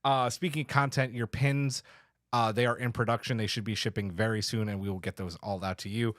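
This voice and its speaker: A man's voice: lowish voice, fairly even intonation, brisk, a bit bright, no echo, clean studio sound. A man hosting a podcast in casual chat, with an informal, slangy, mildly profane way of talking. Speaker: podcast host.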